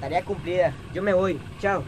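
A man's voice: high-pitched, moderate pace, darkish tone, slight background noise, lightly acted. A man with a Costa Rican accent playing a grumbling, lazy teenage son in a radio drama.

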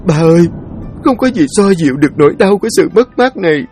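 A man's voice: high-pitched, expressive, fast, a little mellow, husky, faint music behind, pitched above the performer's own voice. A man voicing a grieving grown daughter who tenderly pleads with her old father to hold on. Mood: tearful.

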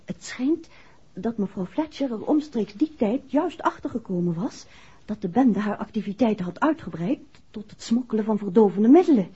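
An actress playing a composed, caring detective's wife in a 1960s radio play, with a husky voice. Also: female voice, on the high side, expressive, normal speed, mellow. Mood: explaining. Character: composed, caring detective's wife recounting events to her husband. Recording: dull, muffled 1960s radio recording.

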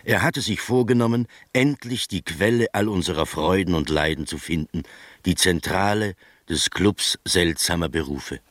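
Deep-voiced gentleman storyteller, dry sound. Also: no echo. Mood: bemused, recounting. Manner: measured, literary storytelling. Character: gentleman narrator recounting his strange adventures, bemused, literary. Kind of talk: scripted narration in radio drama.

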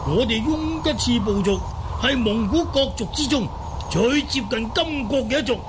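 A man plays a middle-aged Mongol chief in a Cantonese radio drama, proud and expansive. His voice rides high and swings widely in pitch, over faint background noise. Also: animated, normal speed. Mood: jovial, boastful. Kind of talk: Cantonese audio drama acting.

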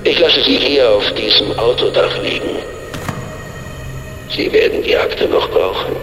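An actor playing a mysterious, commanding masked informant in an audio drama, speaking in a deliberate, stern and formal way. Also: male voice, medium pitch, over music, natural voice. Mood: grave, explaining. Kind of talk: German audio drama acting. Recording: echoey reverberant space, some noise.

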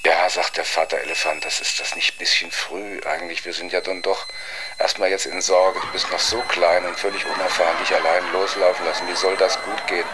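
Low-voiced man telling a story, heavy noise. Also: little pitch movement, a little fast. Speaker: joke teller. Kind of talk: informal spoken storytelling. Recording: very noisy location recording.

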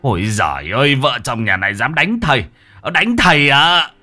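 A man's voice: mid-range voice, big pitch swings, moderate pace, bright, forceful tone, soft background music. A man voicing an effeminate, petulant male spirit medium in an audio story, flustered, stammering and indignant. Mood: pained, indignant.

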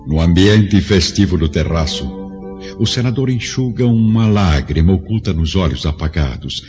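Deep-voiced Brazilian male drama narrator. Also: lively intonation, moderate pace, darkish tone. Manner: solemn, literary delivery. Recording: dull lo-fi audio with music. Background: music.

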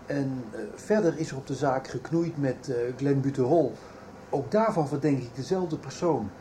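An actor playing a worried middle-aged businessman and father in a radio soap, authoritative yet halting. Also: mid-range voice, dark tone, lightly acted. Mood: worried, formal. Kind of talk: 1990s Dutch radio soap acting. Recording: faint background noise.

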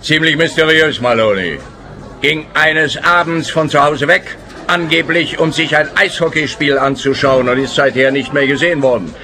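An actor playing a dim, halting, pompous police officer in a comic radio play. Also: male voice, fairly high pitch, sharp tone. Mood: ponderous.

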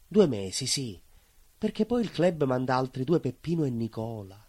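An actor playing a bitter, obsessive southern Italian baker in a theatrical monologue, husky and emphatic, repeating himself and dropping low. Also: male voice, sing-song, normal speed, darkish tone, no room sound. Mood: bitter, defiant. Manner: emphatic repetitions, dropping to near whispers.

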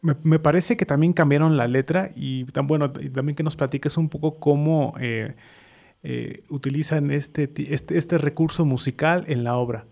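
A man's voice: on the high side, moderate pace, creaky, a little mellow. A Mexican man hosting a casual radio interview, talking in a hesitant, wordy way. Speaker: radio host.

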